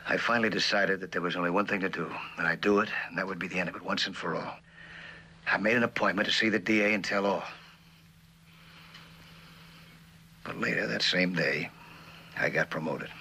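A man's voice: sits low, quick pace, vocal fry, sharp tone, husky, heavily acted. An actor playing a weary, guilt-ridden middle-aged man, subdued and terse, in a television drama. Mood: weary, brooding. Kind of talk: 1960s television drama acting.

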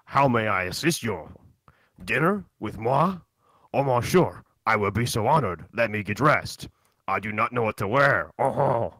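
A man's voice: fairly low pitch, animated, moderate pace, fairly bright tone, dry recording, rough recording. A man voicing a flustered, amorous French servant with an exaggerated put-on French accent in a comic reading. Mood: coy, flustered.

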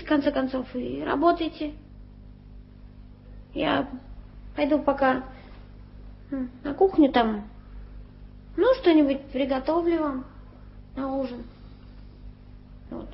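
A proud, touchy young working girl in a radio play, her voice very high with a gritty, creaky edge. She bristles and talks back stubbornly. The old broadcast sound is muffled, with music playing faintly underneath. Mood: indignant. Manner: touchy, stubborn, quick to take offence. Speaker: title character in a radio play. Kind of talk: 1980s radio drama acting. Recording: lo-fi archival radio recording with music.

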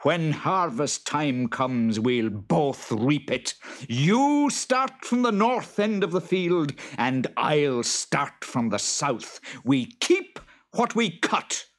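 A man's voice: a little high, very animated, slow, pitched above the performer's own voice, full character voice. A man voicing a great big hairy boggart in a storybook, greedy, dim and hot-tempered, growling and screaming. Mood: grudging, menacing. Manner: screaming, growling, fuming exclamations.

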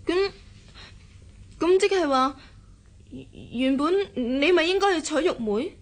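High-pitched pouty young wife. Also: female voice, expressive, slow. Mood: probing. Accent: Hong Kong Cantonese. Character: jealous young wife, pouty, coquettish and childlike. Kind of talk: radio drama acting.

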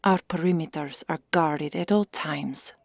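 A woman playing a stoic, stern Amazon warrior in an ASMR roleplay, hushed and curt, often whispering. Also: low voice, a little slow, creaky, no room sound, studio quality, full character voice. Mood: stern, guarded. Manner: curt, formal, restrained.

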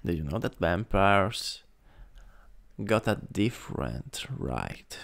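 A man with an Italian accent voicing a cruel, mocking vampire master in an improvised ASMR roleplay, breathy and menacing, close to the microphone. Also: sits low, sing-song, a bit bright, exaggerated voice. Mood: scornful. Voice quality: breathy, husky, gravelly. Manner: menacing, drawn-out, condescending. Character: cruel, mocking male vampire master taunting his servant. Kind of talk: improvised ASMR roleplay, slow and partly whispered. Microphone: close USB microphone.

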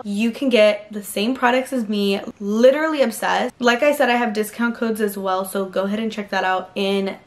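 A woman in her twenties doing a chatty, gushing try-on haul review from her bedroom, filled with "like". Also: a little high. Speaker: fashion vlogger. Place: pink-lit bedroom.

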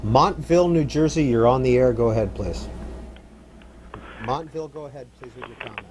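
A male host runs a live call-in show with brisk, short cues. His voice sits at a medium pitch with a faint hiss behind it. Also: darkish tone, low-quality recording.

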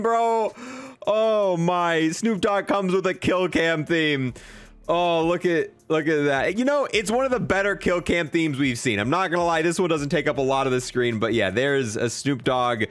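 A man reviews video game items in a fairly high voice with swooping, animated pitch. He talks as an enthusiastic host, tossing in casual slang and bro-style remarks. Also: unhurried. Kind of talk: excited gaming commentary.

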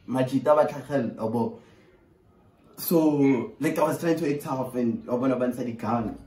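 A young South African man talks in loose street slang, pleading and exasperated as if on a call to a friend. His pitch is average for a man. He sounds a little way from the mic in a small, echoey bedroom. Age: 20s. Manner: animated, exasperated, pleading, swearing. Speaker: prankster. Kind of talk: casual slangy prank phone call. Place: small bare bedroom indoors. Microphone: camera a short distance away. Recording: roomy echo, distant from mic.

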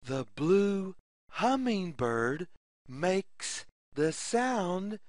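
A narrator reading a repetitive children's story aloud in a deliberate, word-by-word, sing-song way. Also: mid-range voice, sing-song, no room sound, studio quality.

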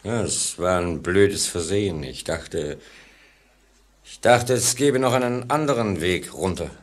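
An actor playing a menacing lift attendant in an old German radio play, hesitant and insinuating behind feigned politeness. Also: male voice, sits low, expressive, measured pace. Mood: falsely apologetic.

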